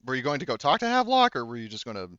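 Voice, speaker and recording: A male game master casually improvises narration for a tabletop game. His voice is clean and smooth, his delivery rambling and self-correcting, recorded dry with a slightly muffled top end. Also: medium pitch, sing-song, rapid-fire, fairly bright tone, no echo.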